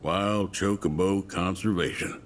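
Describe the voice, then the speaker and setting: An actor playing a folksy, plainspoken middle-aged cowboy with a Southern American drawl in a video game. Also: male voice, deep voice, steady tone, measured pace, slight background noise. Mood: grave, storytelling. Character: folksy, plainspoken older male cowboy racer. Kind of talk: dramatic video game dialogue.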